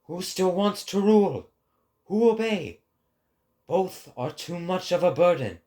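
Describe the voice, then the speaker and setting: Man performing a slow, declamatory prophet in solemn oration. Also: sits high, lively intonation, dark tone. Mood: scornful, declamatory. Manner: declamatory, deliberate, grave. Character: Zarathustra, lofty male prophet preaching in sorrowful warning. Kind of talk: dramatic philosophical oration.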